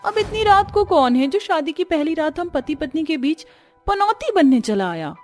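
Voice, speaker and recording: A woman voicing a young new bride in an animated family story, coy, impatient and expressive, with a pouty edge. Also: moderate pace, soft background music. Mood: annoyed, pouting. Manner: high, expressive, pouting delivery.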